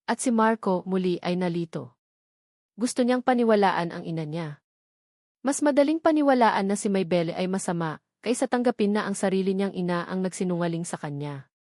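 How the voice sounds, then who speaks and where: A woman's voice: mid-range voice, lively intonation, brisk, a little mellow, no room sound. Woman narrating a drama, dry close sound. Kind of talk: dramatic scripted story narration.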